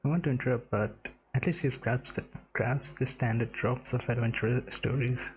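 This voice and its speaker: A woman's voice: extremely low pitch, steady tone, moderate pace. A woman gaming streamer giving casual commentary over a playthrough. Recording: dull mic, game music behind.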